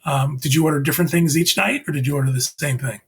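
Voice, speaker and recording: A man hosting a casual podcast chat, asking follow-up questions with animated reactions. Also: a little high, fast, slightly rough. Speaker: podcast host.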